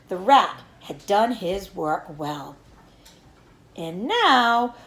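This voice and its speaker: Female read-aloud teacher for children's fiction, expressive and swooping, slightly high voice, roomy recording. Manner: animated storytelling with acted dialogue. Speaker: teacher reading aloud to pupils.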